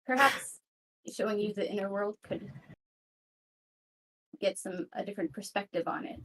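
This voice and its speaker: A woman's voice: medium pitch, moderate pace, a bit bright, natural voice, low-quality recording. A woman playing a shy, evasive female assassin in an anime roleplay, speaking hesitantly with stammers. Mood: guarded.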